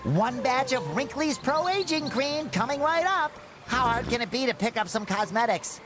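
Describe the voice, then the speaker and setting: A man's voice: extremely high pitch, very animated, normal speed, fairly bright tone, soft background music, full character voice. A man voicing an eager, naive cartoon sea sponge hero in a video game, earnest and childlike. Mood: eager, polite. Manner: chipper, earnest, polite. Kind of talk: animated video game voice acting.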